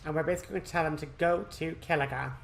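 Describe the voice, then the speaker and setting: A woman's voice: sits low, racing, gravelly, slight background noise. A woman hosting a game walkthrough, chatting informally and speaking straight to her viewers. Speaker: gaming video host. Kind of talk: casual game walkthrough commentary. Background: video game music.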